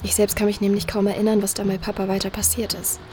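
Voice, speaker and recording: A woman's voice: mid-range voice, monotone, quick pace, over music, close to an everyday voice. A young woman playing a candid, shaken teenage daughter in an audio drama, speaking informally. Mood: candid, chatty. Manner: informal, youthful. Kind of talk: audio drama acting, podcast chat.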